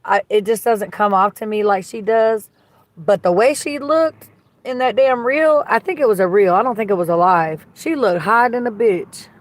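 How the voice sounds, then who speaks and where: A woman with a slightly raspy voice hosting a livestream, chatting casually and reading viewer comments in a blunt, gossipy way that is full of swearing. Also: medium pitch, normal speed, dry recording. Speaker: livestream host.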